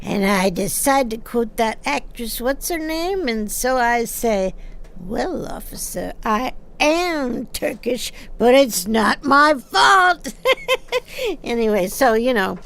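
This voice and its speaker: A nosy widowed seamstress in her sixties for a radio play. Her voice is high and gravelly, and she takes her time, rambling along in a folksy, halting way. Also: sing-song, fairly bright tone, exaggerated voice. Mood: wry storytelling. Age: older. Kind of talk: naturalistic audio drama acting.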